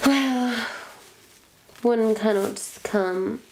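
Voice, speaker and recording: A woman's voice: on the high side, drawn-out words, a little mellow, slight background noise, low-quality recording. A woman playing a wicked, theatrical witch in a suspenseful roleplay, pompous and florid, savoring each phrase as she toys with a captive. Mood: mock melancholy. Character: wicked, theatrical female witch, smugly toying with her captive. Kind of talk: suspenseful point-of-view roleplay monologue.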